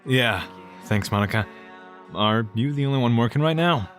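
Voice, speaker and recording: A man's voice: mid-range voice, animated, normal speed, fairly bright tone, soft background music, close to an everyday voice. A man playing a sardonic, blunt police detective in an audio crime drama. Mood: dry, casual. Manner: sarcastic, blunt. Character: sardonic, gruff adult male police detective. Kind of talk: audio drama acting with narration.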